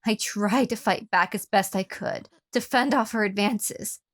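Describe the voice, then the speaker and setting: A woman's voice: medium pitch, measured pace, fairly bright tone, lightly acted. Horror audio drama: actress as captive, wounded female victim of an obsessive lover, mid-range voice, telling her own story. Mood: somber, reflective. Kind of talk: first-person horror radio drama narration with acted dialogue.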